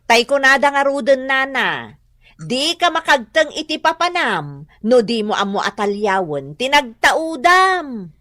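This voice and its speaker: Very high-voiced, insistent Ilocano woman. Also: sing-song, unhurried, bright, forceful tone, dry recording, clean studio sound, full character voice. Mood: admonishing. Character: outspoken, insistent woman arguing with an older mother. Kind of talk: radio drama acting.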